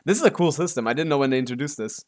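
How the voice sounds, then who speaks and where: A man's voice: mid-range voice, expressive, racing, a little mellow. A man chatting casually on a gaming livestream as the streamer, often muttering to himself and swearing offhandedly. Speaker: live streamer.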